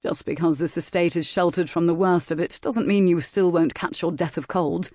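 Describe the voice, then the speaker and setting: A woman voicing a gruff sardonic gentleman. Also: fairly high pitch, fairly even intonation, normal speed, dry recording, clean studio sound, natural voice. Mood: scolding. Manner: gruff, brusque.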